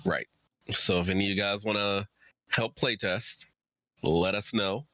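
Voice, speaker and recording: A man's voice: on the low side, unhurried, pushed and bright. A man chatting informally and effusively as a co-presenter on a casual livestream. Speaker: writer and co-presenter.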